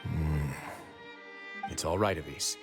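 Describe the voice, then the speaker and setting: An actor playing a stern, protective duke in a video game, speaking in a measured, authoritative way. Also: male voice, rumbling low. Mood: firm, reassuring. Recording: game audio under loud background music.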